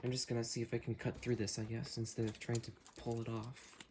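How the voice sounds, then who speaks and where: Male unboxing host, mid-pitched, casual hands-on commentary, slight background noise. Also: level tone, quick pace. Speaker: host unboxing weather radios.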